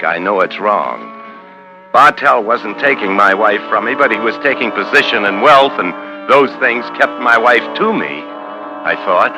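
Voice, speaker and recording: An actor playing an ambitious, tormented, possessive husband in a 1950s radio suspense drama, with brooding, intense, clipped delivery. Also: male voice, fairly low pitch, rough recording. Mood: cold, resolved. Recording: old 1950s radio recording with music.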